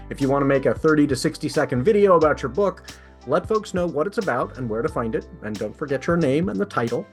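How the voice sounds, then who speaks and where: A man hosting a podcast, talking in a casual, chatty way with guests. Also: mid-range voice. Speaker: podcast host interviewing an author. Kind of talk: casual chat.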